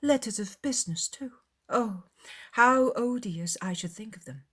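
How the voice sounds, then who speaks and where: Period audiobook role: woman as fawning young lady of society, affected, flattering, effusive. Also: on the high side, measured pace, slightly rough, pitched above the performer's own voice, exaggerated voice. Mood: gushing, flattering. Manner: gushing, affected.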